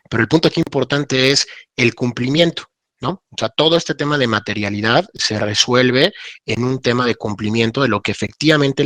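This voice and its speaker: Mexican guy on a webinar panel, bright forceful voice, dry close sound, explains stuff off the cuff. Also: medium pitch, no echo. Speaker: legal expert panelist. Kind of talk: unscripted webinar discussion.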